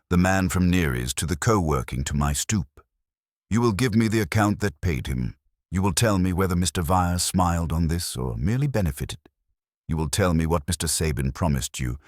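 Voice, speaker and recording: A man's voice: deep voice, no echo, studio quality. A man voicing an imperious, exacting master detective in an audiobook, formal, pedantic and coldly accusing.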